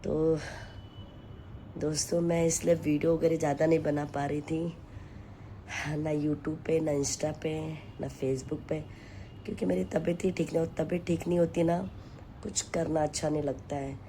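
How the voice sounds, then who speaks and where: A hoarse woman with a sore throat, chatting to her followers from home in a weary, sighing way. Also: low voice, fairly even intonation, warm tone, slight background noise. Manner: slow, weary, sighing between lines. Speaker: vlogger and home tailor. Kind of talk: casual chat to camera. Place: at home, taking steam.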